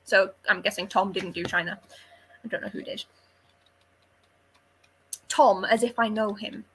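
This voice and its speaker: A woman's voice: mid-range voice, fairly even intonation, a little slow, a bit bright, a little hiss or hum, low-quality recording. A woman chatting casually and animatedly about books as a panellist, opinionated and informal. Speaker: book club readalong panellist. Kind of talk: casual book discussion chat.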